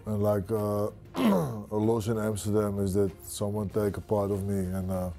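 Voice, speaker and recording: A man in his thirties with a Dutch accent, a kickboxer speaking confidently in a pre-fight studio interview, halting with many fillers. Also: fairly low pitch, warm tone, soft background music. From Netherlands. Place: studio with branded backdrop. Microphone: lapel.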